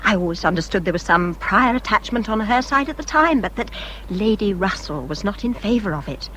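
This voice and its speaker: A woman playing a high-spirited, headstrong young English gentlewoman in a period radio drama, emphatic and girlish in manner. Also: animated, a little fast, slight background noise, lightly acted, low-quality recording. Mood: confiding.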